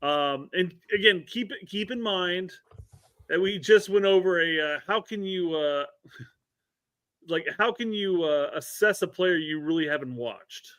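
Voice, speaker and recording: A man's voice: sits high, unhurried, a bit bright. A man hosting a casual podcast chat, often restarting his sentences and agreeing readily. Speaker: host.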